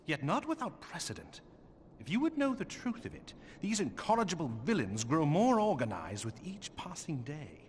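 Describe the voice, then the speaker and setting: A man's voice: mid-range voice, a little hiss or hum, lightly acted. A man playing a gallant noble knight commander in a fantasy game, with courtly, expansive, eloquent archaic speech.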